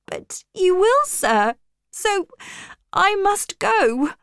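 A woman's voice: high-pitched, very animated, slow pace, darkish tone, no echo, clean studio sound, voice pushed up, full character voice. A woman voicing an earnest young governess, polite and pleading but strong-willed, in a storybook reading. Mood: defiant.

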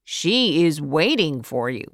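Woman reading a hurried ticket-office clerk. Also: sits low, very animated, dry recording, studio quality, exaggerated voice. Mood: brisk. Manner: brisk, offhand.

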